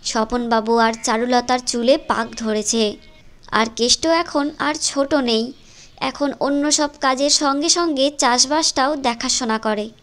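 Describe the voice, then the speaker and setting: High-pitched woman narrating a story. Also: steady tone, unhurried, a bit bright. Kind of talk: scripted storytelling narration for a moral cartoon. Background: music.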